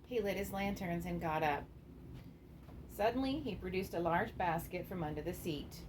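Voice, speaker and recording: Low-pitched woman narrator, noisy recording. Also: moderate pace. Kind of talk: scripted storybook narration. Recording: clearly noisy amateur recording.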